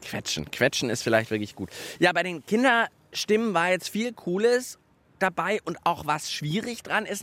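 A man's voice: high voice, a bit bright, no echo. A man hosting a children's radio show outdoors among autumn leaves, chatting playfully and explaining what he is doing. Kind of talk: lively radio chat while building.

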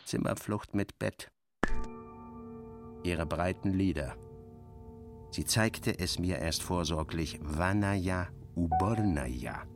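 A man giving a dramatic first-person literary narration as in a radio play, theatrical and sardonic, with expressive shifts of emphasis. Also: sits low, slow pace, long pauses, over music.

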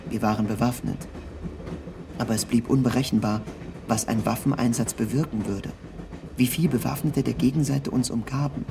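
Gravelly man narrating over rumbling noise. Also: fairly even intonation, normal speed. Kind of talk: scripted literary narration, read in first person.

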